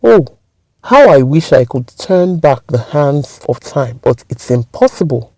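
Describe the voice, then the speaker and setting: A woman's voice: low-pitched, very animated, a little slow, a little mellow, no echo, full character voice. A woman voicing a solemn, remorseful man who was turned into a monkey, in a spoken folktale. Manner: solemn, earnest pleading.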